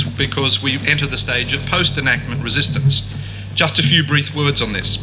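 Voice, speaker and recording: Man closing a formal legal talk. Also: medium pitch. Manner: formal, argued, steady. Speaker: conference speaker. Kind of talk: academic lecture. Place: university lecture hall. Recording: noisy conference room recording.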